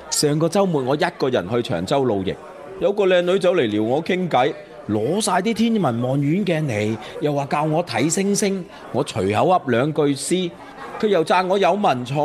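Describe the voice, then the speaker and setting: An actor plays a boastful, easily flustered young bachelor with a Hong Kong accent. His voice sits fairly high and swings widely in pitch. The delivery is earnest radio drama. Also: a little mellow, close to an everyday voice. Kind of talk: Cantonese radio drama acting.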